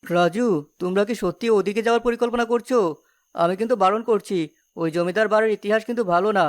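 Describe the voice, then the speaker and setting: A woman's voice: mid-range voice, fast talker, dry recording, studio quality, natural voice. A woman voicing a cautious, superstitious village shopkeeper uncle in a cartoon story, warning and confiding. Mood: wary.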